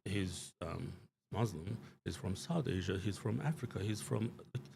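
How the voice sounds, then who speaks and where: Male studio guest: low, gravelly, emphatic, loose with fillers; slight background hiss. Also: expressive, moderate pace, a little mellow. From Turkey. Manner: emphatic, rambling, frequent fillers and restarts. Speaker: show guest commentator. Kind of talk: live studio political talk. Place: small studio.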